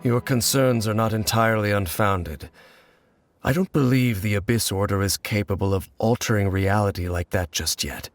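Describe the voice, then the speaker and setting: Game role, male actor: grave, guarded wanderer, low register, measured formal delivery over clear background music. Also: no echo, close to an everyday voice. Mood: solemn, deliberate. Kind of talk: video game voice acting.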